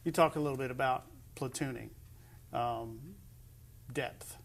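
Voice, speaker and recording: A man's voice: medium pitch, steady tone, unhurried, vocal fry. A middle-aged American man hosting a conversational sports interview, asking long, somewhat hesitant questions. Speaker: host of a high school sports interview show.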